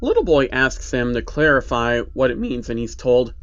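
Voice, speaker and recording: A man's voice: mid-range voice, sing-song, moderate pace, dry recording, dull audio. A man narrating a creepy first-person story as a storyteller. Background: background music.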